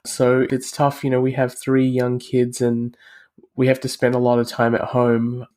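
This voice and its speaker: A middle-aged man from Australia, a guest in an interview, explaining his views in a calm, reasoned way. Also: medium pitch, measured pace. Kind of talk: casual interview conversation.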